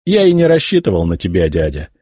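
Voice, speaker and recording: A man's voice: low voice, huge pitch swings, fast, mellow, slightly rough, dry recording, clean studio sound, exaggerated voice. A man voicing an arrogant young heir to the throne who mocks and threatens his old uncle. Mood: sneering. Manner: contemptuous, mocking. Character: arrogant young male emperor's heir, sneering. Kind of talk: audiobook character voicing.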